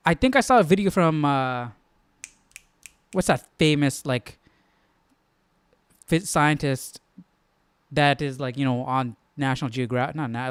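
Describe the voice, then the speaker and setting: A man's voice: mid-range voice, clear, resonant tone, no room sound. A man hosting a live Bible study, thinking aloud in a conversational, questioning way. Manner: conversational, questioning, repeats himself for emphasis.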